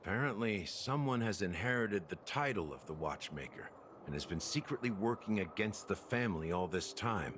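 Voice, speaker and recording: A man voicing a weary, guilt-haunted officer in a video game, speaking slowly and ruefully like someone telling an old story. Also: lowish voice, expressive, background noise, lightly acted. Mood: resigned, brooding. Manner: slow, rueful, self-deprecating storyteller. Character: weary, world-worn male officer haunted by guilt and regret. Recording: music behind the voice. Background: game music.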